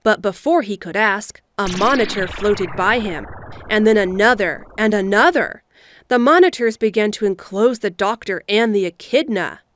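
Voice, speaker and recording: A woman's voice: mid-range voice, animated, normal speed, a bit bright, slight background noise. A woman reading scripted story narration as the narrator of an audio drama. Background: background music.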